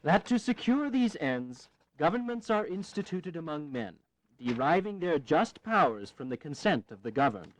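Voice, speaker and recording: Articulate founding father, lightish pitch, very expressive, formal declamation, for historical audio drama. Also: moderate pace, slight background noise, poor audio. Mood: solemn.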